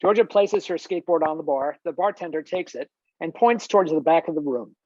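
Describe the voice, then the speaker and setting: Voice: medium pitch, a little fast, darkish tone, no room sound. Screenplay narrator, scripted delivery, close dry sound with slightly muffled call quality. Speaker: stage-direction reader. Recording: dull video-call audio.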